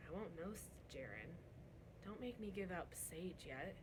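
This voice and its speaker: Woman voicing a young servant girl, storybook dialogue, soft, hesitant, earnest, noisy recording. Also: lowish voice, animated, a little slow. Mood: gentle, hesitant. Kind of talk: storybook read-aloud dialogue.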